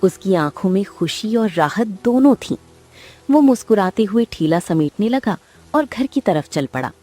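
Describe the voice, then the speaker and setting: A woman narrating a cartoon story in a steady storytelling manner. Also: mid-range voice, normal speed, faint music behind.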